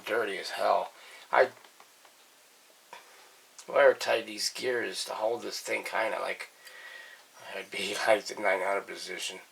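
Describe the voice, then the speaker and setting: Gravelly, low-voiced man in a workshop. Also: fairly bright tone, poor audio. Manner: plainspoken, colloquial. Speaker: vintage radio restorer and host. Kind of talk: casual hands-on workshop vlog. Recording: faint background noise.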